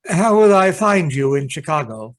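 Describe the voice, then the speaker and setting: A man's voice: fairly high pitch, big pitch swings, moderate pace. A man playing a polite, hesitant and sly valet in a radio play. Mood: deferential, nervous. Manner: hesitant, polite servant's delivery. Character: deferential, hesitant, secretly treacherous male valet. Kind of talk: amateur radio play acting.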